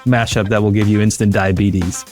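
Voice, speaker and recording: Low-voiced man in his fifties, chatting. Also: level tone, normal speed, a little mellow, soft background music. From Sri Lanka. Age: early 50s. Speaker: interview guest, founder. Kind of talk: casual podcast conversation. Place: living room at home, indoors. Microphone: close desk microphone on a video call.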